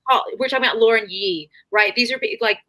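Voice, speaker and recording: A woman's voice: on the high side, quick pace, bright, forceful tone. A woman guest-hosting a casual chat, effusive and rambling with lots of fillers. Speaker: guest host. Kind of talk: casual conversation.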